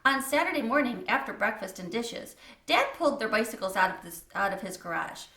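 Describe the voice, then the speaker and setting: A woman's voice: a little high, very animated, brisk, bright, forceful tone, reverberant. A woman reading a children's book aloud as a storyteller, in a scripted narration style.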